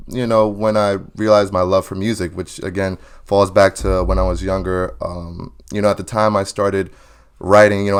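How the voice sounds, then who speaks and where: A young man, a singer, chatting casually in an interview, relaxed and full of "you know" fillers, laughing often. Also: deep voice, clear, resonant tone. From New Jersey or New York area. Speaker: guest singer.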